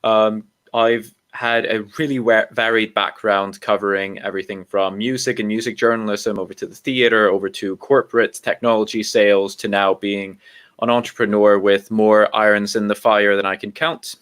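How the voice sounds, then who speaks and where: Relaxed low-voiced man from Iceland. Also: moderate pace, clean tone. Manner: relaxed, rambling, many ums and self-corrections, laughs at himself. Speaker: guest. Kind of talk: casual interview talk.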